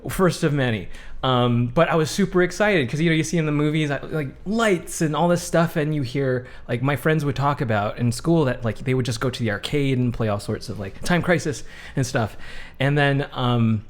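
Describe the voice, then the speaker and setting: Loud thirty-something guy telling a story on a podcast, lots of likes and restarts. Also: medium pitch, normal speed. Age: 30s. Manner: loud, animated, many fillers and restarts. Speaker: podcast co-host. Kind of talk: casual chat, storytelling.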